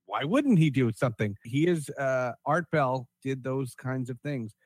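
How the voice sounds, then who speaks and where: A man's voice: mid-range voice, animated, unhurried, dry recording, clean studio sound. A man hosting a podcast in a casual, chatty style. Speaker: podcast host.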